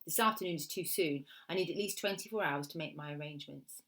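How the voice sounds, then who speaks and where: A woman's voice: deep voice, quick pace, fairly bright tone. A woman voicing a cool, careful male hired killer in a terse, businesslike, controlled way. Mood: businesslike, detached.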